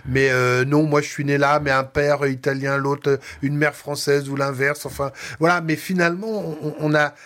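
A man's voice: fairly high pitch, flat delivery, moderate pace, hardly any pauses, fairly bright tone. A man hosting a casual radio talk show from a studio table, speaking with many fillers and restarts. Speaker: radio host.

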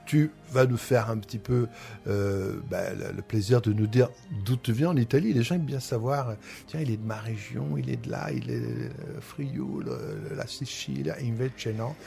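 A man's voice: mid-range voice, animated, normal speed, vocal fry, warm tone, faint music behind. A man hosting an interview, chatty and friendly, with many fillers and hesitations. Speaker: host. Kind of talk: radio interview chat.

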